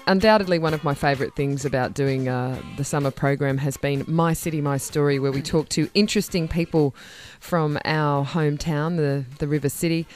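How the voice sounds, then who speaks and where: A woman's voice: deep voice, fairly even intonation, a little fast, hardly any pauses, a little mellow, faint music behind. A woman with an Australian accent hosting a radio interview in a studio, chatty and enthusiastic with small restarts. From northern New South Wales, Australia. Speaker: radio host. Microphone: studio microphone.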